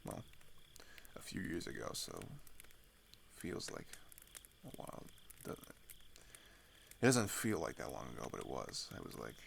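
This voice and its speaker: Weary teenage runaway played by a man for intimate audio drama: low-pitched, hesitant, pitch wandering widely, often near a whisper. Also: wildly swooping pitch, a little slow, long pauses, a little hiss or hum, full character voice. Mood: wistful, subdued. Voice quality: low, gravelly with vocal fry. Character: weary, hesitant teenage boy refugee climbing a mountain.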